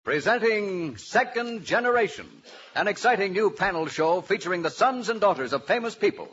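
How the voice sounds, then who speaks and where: High-pitched male show announcer. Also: animated, normal speed, a bit bright. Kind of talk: grand mid-century radio show introduction. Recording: muffled old radio recording.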